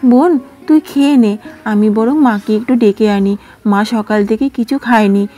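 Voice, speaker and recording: A woman's voice: on the high side, fairly even intonation, moderate pace, warm tone, faint music behind, close to an everyday voice. A woman doing a sweet, pleading young girl. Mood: tender. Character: kind, patient young girl, devoted elder sister.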